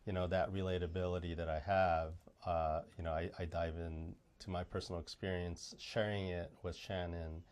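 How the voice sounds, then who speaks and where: Man, low deep voice, halting, lots of ums, director in casual interview. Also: little pitch movement, a little mellow, clean tone. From United States. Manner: halting, many ums and restarts. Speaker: film director, interview guest.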